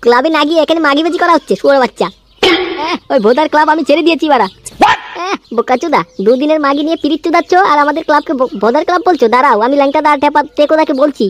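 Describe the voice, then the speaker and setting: Casting: performer as ranting young village tough for crude comic cartoon, high voice, extremely rapid delivery, aggressive swagger. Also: lightly acted. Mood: angry, ranting. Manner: ranting, aggressive, swearing constantly. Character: foul-mouthed hot-headed young village club tough.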